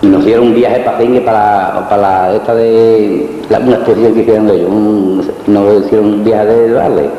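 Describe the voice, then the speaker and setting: A man's voice: lowish voice, fairly even intonation, moderate pace, few pauses. Rambling Spanish man in a very echoey hall. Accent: Spanish from Spain. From Cañada, Spain. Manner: rambling, colloquial, repetitive. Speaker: interviewee, former emigrant worker. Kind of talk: informal spoken recollection. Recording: muffled, very echoey hall with noise.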